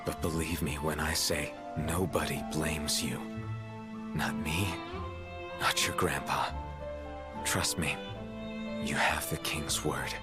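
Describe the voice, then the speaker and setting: A man playing an earnest, solemn young king in a video game, speaking in a low-key, sincere way. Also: measured pace. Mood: earnest, reassuring. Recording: loud music under the dialogue.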